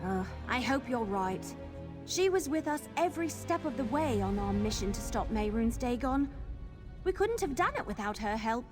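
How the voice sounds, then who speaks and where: High-voiced, chatty adventurer gal. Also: female voice, big pitch swings, moderate pace, music in the background. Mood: doubtful, loyal. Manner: casual, chatty, wry. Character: friendly, plain-spoken young female adventurer ally. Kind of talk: video game character acting.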